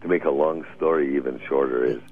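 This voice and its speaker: An older man with a very low, husky voice tells meandering stories as a radio guest and laughs often. His voice comes through a narrow, tinny phone line. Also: steady tone, brisk. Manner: rambling, anecdotal, laughs often. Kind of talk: radio interview storytelling.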